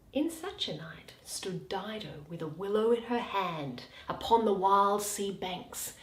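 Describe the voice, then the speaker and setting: A woman giving a theatrical, expressive dramatic reading of Shakespeare verse. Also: medium pitch, roomy sound. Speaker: reader and performer.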